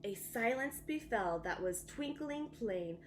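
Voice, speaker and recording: High-voiced woman reading slowly to children. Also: slight background noise. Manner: animated, dramatic emphasis for young listeners. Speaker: teacher reading a bedtime story. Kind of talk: expressive children's storybook reading.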